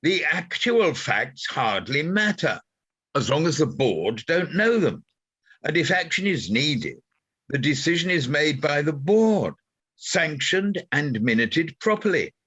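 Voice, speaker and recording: A man plays a pompous general manager in a radio play, fussy and risk-averse. His voice is bright and forceful, swooping up and down as he lays out corporate jargon with great emphasis. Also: a little high, sing-song, slow pace, husky, muffled recording, full character voice. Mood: smugly approving. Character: self-important, risk-averse bureaucratic male station manager. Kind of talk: radio comedy-drama dialogue.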